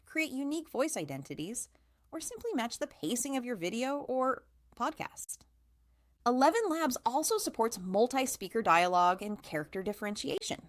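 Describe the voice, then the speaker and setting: Lively woman narrating a scripted explainer. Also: medium pitch, dry recording, studio quality. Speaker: tutorial host.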